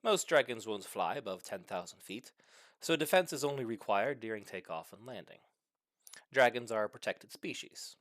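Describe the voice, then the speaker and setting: A man's voice: lowish voice, lively intonation, moderate pace, a bit bright, dry recording. A man reading a comic short story aloud with mock-serious, dramatic delivery. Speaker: story reader.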